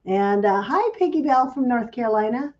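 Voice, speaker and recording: Woman, slightly raspy, mellow tone, dull lo-fi stream audio, chatty livestream host. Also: on the high side, expressive, normal speed. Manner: friendly, greets viewers by name. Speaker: craft business owner hosting a livestream. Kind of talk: casual livestream chat.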